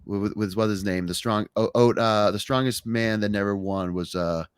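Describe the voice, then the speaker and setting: A man's voice: fairly low pitch, normal speed, clear, resonant tone, no echo, studio quality. A young man hosting a podcast, talking casually and rambling, often stumbling and restarting his sentences. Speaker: podcast host.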